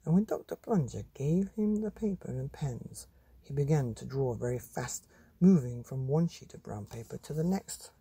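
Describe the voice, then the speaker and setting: A man's voice: mid-range voice, expressive, normal speed, dark tone, slightly rough, no echo. A man reading a children's bedtime story expressively as a storyteller.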